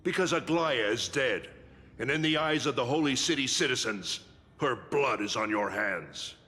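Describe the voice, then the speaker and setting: An actor playing a stern, commanding city guard in a fantasy game, with a forceful, formal and declamatory delivery. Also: male voice, medium pitch, lively intonation, moderate pace, long reverb tail. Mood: threatening. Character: stern, commanding male city guard, menacing toward enemies. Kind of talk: dramatic fantasy game voice acting.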